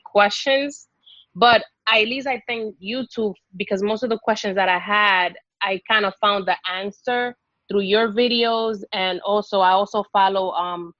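A woman's voice: mid-range voice, fairly bright tone, no echo, dull audio. A woman from the Dominican Republic talking in a hesitant, searching way, full of fillers and restarts, as a guest in a conversation. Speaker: guest exploring Islam.

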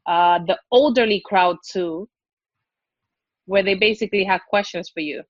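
A woman from the Dominican Republic speaks in a halting, searching way, often restarting her sentences. Her voice sits at an ordinary pitch and sounds dry and a little dull, as if from a modest recording. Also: no echo. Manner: hesitant, many fillers and restarts. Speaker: guest exploring Islam. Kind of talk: hesitant personal conversation.

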